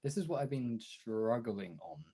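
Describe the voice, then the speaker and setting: A young man with a husky voice chatting casually and informally as a livestreamer, replying to his viewers as he goes. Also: medium pitch, sing-song, a little slow, dark tone. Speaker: streamer and video creator.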